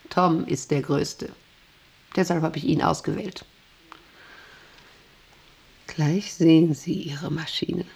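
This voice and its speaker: Imperious, gravelly female factory boss. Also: on the low side, exaggerated voice. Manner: imperious, intense, swinging between hushed and vehement. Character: proud, volatile female factory boss, obsessed with power. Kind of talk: German radio drama acting.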